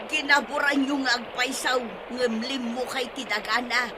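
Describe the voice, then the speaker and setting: Woman acting excitable village wife, very high voice, exclamatory wailing, Ilocano accent, noisy radio drama ambience. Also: moderate pace, lightly acted. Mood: lamenting.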